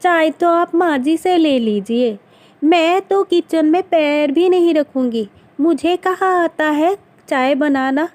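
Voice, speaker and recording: A woman acts out a young daughter-in-law with a sharp tongue. Her voice is raised well up in pitch, and she answers back with pert, defiant sarcasm, as in a cartoon family drama. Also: slow, no echo. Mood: sarcastic, defiant.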